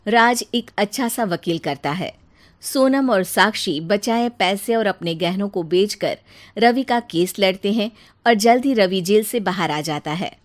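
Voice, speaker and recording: A woman's voice: medium pitch, animated, measured pace. A woman narrator reading a scripted cartoon moral story.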